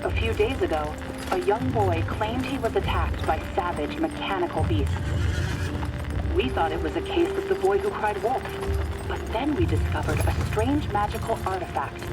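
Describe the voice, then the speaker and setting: An actor playing a formal, earnest mission briefer who addresses a detective in a scripted video game. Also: male voice, high voice, lively intonation, normal speed, rough recording. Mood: businesslike. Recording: loud music over the voice.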